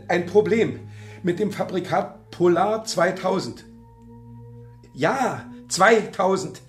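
A man playing a terse, grumbling older loner in a German children's radio play. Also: high-pitched. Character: grumbling, laconic older man living alone, Herr Burczik.

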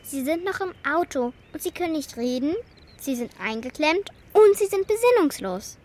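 A woman's voice: high-pitched, big pitch swings, normal speed, a little mellow. A woman playing a brave, earnest and insistent young girl in a children's radio play. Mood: urgent, determined.

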